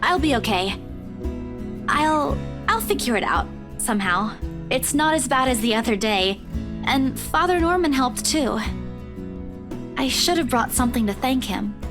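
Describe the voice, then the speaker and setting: An actress playing a spirited, self-conscious young woman in a visual novel, expressive and earnest. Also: high voice, a little slow, pauses often. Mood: soft, grateful. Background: music.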